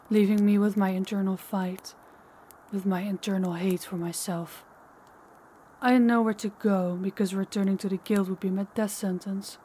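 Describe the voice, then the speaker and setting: A woman plays an ancient female vampire in an intimate audio roleplay. She is powerful, teasing and menacing, with slow, deliberate phrasing at a medium pitch. There is some background noise on the recording. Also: darkish tone, lightly acted. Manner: slow, deliberate, taunting, dropping into whispers.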